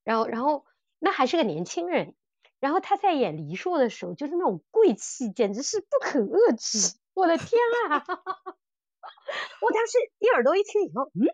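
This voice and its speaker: A high-voiced woman hosts a casual fan podcast. She talks in an excited, gushing way, full of exclamations. The sound is close and dry. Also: normal speed, no room sound, lo-fi sound. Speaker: podcast host. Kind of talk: casual fan chat about audio dramas.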